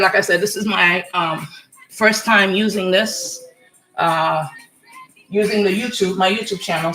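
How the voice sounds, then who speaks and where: A woman in her forties from Senegal hosting a casual live cooking stream from her home kitchen, chatty and rambling, often asking "okay?", with a slightly hoarse voice. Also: mid-range voice, bright, forceful tone, reverberant, rough recording. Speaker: home cook, channel host. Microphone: computer or phone camera mic.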